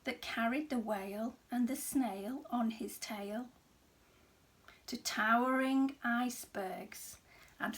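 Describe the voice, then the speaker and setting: Slow, high-voiced woman reading a storybook. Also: poor audio. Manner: measured, dramatic, with hushed phrases. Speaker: storybook reader. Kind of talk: expressive children's story reading.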